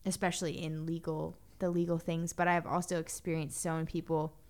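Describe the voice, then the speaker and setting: Young woman, low-pitched, casual chatty co-host, loose and filler-heavy. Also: flat delivery, fairly quick, darkish tone. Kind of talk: casual chat.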